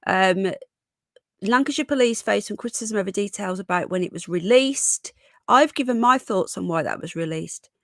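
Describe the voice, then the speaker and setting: A woman's voice: mid-range voice, expressive, normal speed, a bit bright, no echo, clean studio sound. Congested British woman hosting a live stream. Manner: chatty, reads chat comments aloud and greets viewers. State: congested and croaky from a cold. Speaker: live stream host reading news. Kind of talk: casual live stream commentary.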